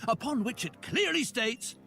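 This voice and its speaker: A pompous stickler of an official, pitched high and piercingly bright. He scolds pedantically with swooping intonation, in video game style. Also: big pitch swings, measured pace, vocal fry, slight background noise, heavily acted. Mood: officious. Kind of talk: video game character dialogue. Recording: music under the voice.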